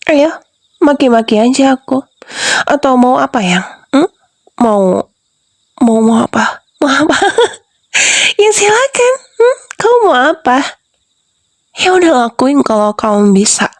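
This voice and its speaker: A woman's voice: high voice, animated, slow, pauses often, no room sound, studio quality, exaggerated voice. A woman voicing a sinister young wife who whispers and giggles as she taunts the husband she has drugged. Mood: mocking, teasing. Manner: soft, teasing, breathy whispers with giggles. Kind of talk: whispered roleplay audio drama.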